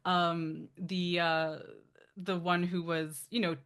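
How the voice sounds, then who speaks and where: Woman from Canada, author guest, low voice, chatty, tangents and fillers. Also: flat delivery, takes it slowly, fairly bright tone, clean tone. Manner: thoughtful, chatty, many asides and fillers, laughs easily. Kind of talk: casual interview talk.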